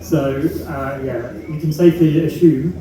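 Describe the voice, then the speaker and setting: A man giving a presentation and answering questions, halting and full of fillers. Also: on the high side, fairly even intonation, crowd noise. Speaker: park presenter. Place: large echoey venue. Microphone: far from the recording camera. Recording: echoey distant room recording with noise.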